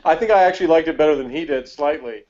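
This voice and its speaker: A man's voice: on the high side, very fast, a little mellow. A man giving a casual, wry, rambling review as a panelist in a chat. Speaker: podcast panelist. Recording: dull lo-fi call audio.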